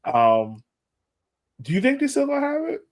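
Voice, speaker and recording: A man's voice: very high voice, wildly swooping pitch, moderate pace. An American man chatting casually as co-host of a sports podcast.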